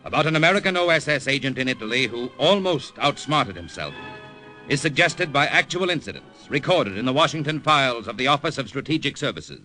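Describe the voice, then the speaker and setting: A man announcing a 1940s radio drama in a slow, weighty, portentous manner. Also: medium pitch, lively intonation, sharp tone, faint music behind.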